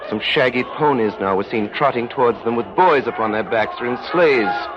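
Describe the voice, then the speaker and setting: A man narrating an old-time radio drama in a measured, literary storytelling manner. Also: medium pitch, background noise. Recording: dull old radio recording, music behind.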